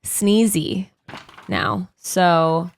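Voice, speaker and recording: A woman's voice: lowish voice, very animated, extremely slow. A woman co-hosting a podcast with a stuffy, congested nose, telling stories in a chatty, rambling way full of fillers. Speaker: podcast co-host. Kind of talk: casual chat. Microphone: studio microphone.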